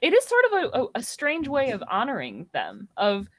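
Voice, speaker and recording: A woman's voice: fairly high pitch, huge pitch swings, normal speed, no room sound. A woman of about thirty chatting casually on a panel, playfully acting out imagined reactions. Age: around 30. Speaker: podcast panelist. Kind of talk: casual chat.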